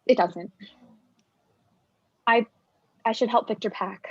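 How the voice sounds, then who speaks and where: A woman playing a bookish, yearning young woman who is quick to get defensive, in staged dramatic dialogue. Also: fairly high pitch, breathy edge, no echo, highs cut off, full character voice. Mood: hurt, defensive.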